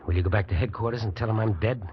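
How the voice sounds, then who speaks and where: A man plays a weary captured fugitive in an old radio play, wry and resigned to his fate. His voice is very low and plainspoken. It comes through a dull, noisy vintage recording. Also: rapid-fire, close to an everyday voice. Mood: reflective, bargaining. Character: resigned, wry male fugitive prisoner, freezing and worn out. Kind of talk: old-time radio drama acting.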